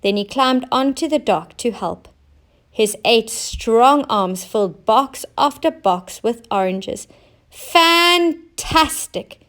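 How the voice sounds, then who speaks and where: Female storybook narrator, higher register, unhurried and deliberate, expressive emphasis for young listeners. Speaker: teacher reading stories aloud.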